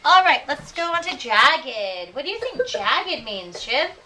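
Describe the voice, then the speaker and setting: A woman speaks as an elementary teacher with a bright, fairly high voice. She asks questions and echoes answers slowly and deliberately, with encouraging endearments. The recording is picked up from a distance. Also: very animated. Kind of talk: classroom instruction, questioning pupils and repeating their answers. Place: classroom with children. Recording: distant mic, clearly audible room noise.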